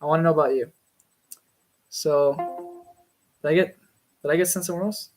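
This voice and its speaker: A man's voice: a little high, a little fast, faint music behind. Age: adult. Sex: man. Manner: teasing, pushy. Style: online voice chat. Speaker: voice chat participant.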